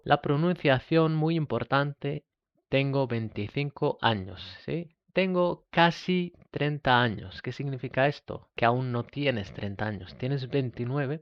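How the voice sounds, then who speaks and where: A man from Spain teaching a beginner Spanish lesson, slowly repeating each example phrase. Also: medium pitch, dry recording, highs cut off.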